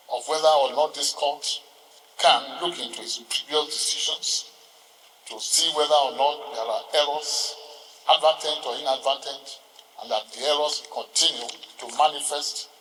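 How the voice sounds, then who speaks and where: A man with a Nigerian accent giving a formal courtroom address as a senior lawyer, speaking in a deliberate, halting, oratorical way. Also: on the high side, pushed and bright. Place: large hall, ceremonial court session. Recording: echoey hall, distant microphone.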